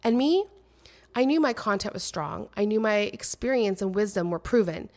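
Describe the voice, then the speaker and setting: A woman in her thirties hosting her own podcast, speaking in an earnest, emphatic monologue with dramatic pauses; she is a little nasal and her voice is slightly crackly. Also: mid-range voice, expressive, darkish tone. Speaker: podcast host. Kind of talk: personal solo podcast monologue.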